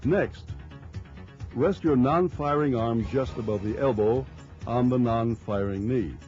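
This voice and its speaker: Mellow male instructional narrator, dull old soundtrack. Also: mid-range voice, faint music behind. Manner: measured, formal, explanatory. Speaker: training film narrator. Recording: dull lo-fi early 1990s film soundtrack.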